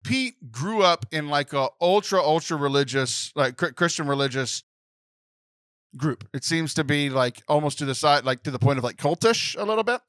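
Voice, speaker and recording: Man, podcast co-host, casual joking chat, quick laughs, close dry mic. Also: a little high, expressive, fairly bright tone, clear, resonant tone, no echo.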